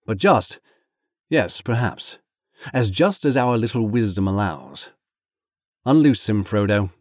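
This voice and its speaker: A man's voice: on the low side, big pitch swings, slow, no room sound, clean studio sound. A man voicing a stern, grave captain from a storybook, judicious and commanding, with formal archaic phrasing. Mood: measured judgement.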